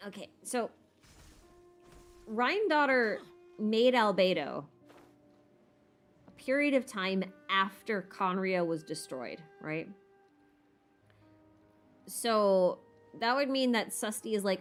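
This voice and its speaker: A woman with a mid-pitched voice chats casually as a livestream host. She thinks out loud and states opinions emphatically in informal wording. Music plays behind her. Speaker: livestream host answering viewer questions. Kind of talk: casual gaming Q&A chat, thinking aloud. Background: background music.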